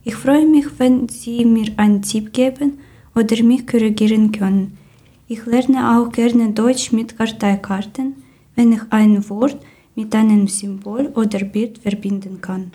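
Soft-spoken woman. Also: on the high side, steady tone, unhurried, breathy edge. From Ukraine. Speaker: interviewer. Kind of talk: podcast interview.

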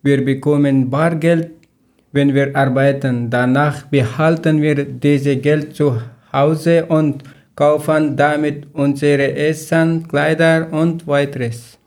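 A man from Afghanistan co-hosting a casual podcast in careful learner German, speaking slowly and deliberately. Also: fairly high pitch, fairly even intonation, a little mellow. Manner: slow, careful phrasing. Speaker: podcast co-host.

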